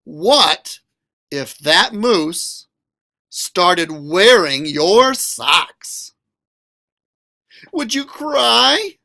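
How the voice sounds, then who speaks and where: A man reads a children's story aloud in a bright, forward voice. His pitch moves in lively swoops and his pace is unhurried. He draws out words and poses each question expressively for young listeners. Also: creaky. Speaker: school librarian reading a picture book. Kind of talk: animated read-aloud of a children's picture book.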